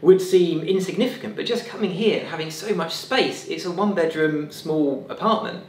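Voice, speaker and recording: A man's voice: fairly high pitch, normal speed, breathy edge. A man in his thirties chatting casually and joking dryly in a travel vlog. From England. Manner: relaxed, dry joking. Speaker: sailing vlogger. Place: apartment and small car in a game park.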